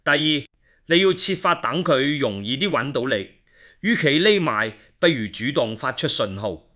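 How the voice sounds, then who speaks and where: A man's voice: mid-range voice, sing-song, a little slow, sharp tone. A man acting an inquisitive, level-headed investigator who narrates and speaks in an audio drama. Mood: deliberating.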